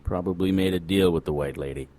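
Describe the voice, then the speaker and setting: A man's voice: low-pitched, fast, warm tone, natural voice. A man playing a jaded occult investigator in a noir audio drama, with a dry, wry, world-weary delivery. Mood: wry narrating. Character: jaded, sardonic male hard-boiled occult investigator. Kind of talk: noir audio drama acting with first-person narration.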